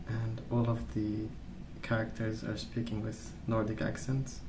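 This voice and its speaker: A man speaking softly in a rambling ASMR style, hesitant and thinking aloud as he goes. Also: lowish voice, flat delivery, a little slow. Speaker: ASMR video creator. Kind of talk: soft-spoken ASMR rambling while colouring. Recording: roomy, distant mic, noisy background.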